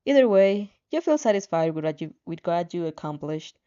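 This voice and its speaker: A woman's voice: lowish voice, lively intonation, normal speed, mellow, clean tone, lo-fi sound. A woman reading a story expressively, with casual, playful asides and the odd stumble over words. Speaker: fan fiction reader reacting as she reads.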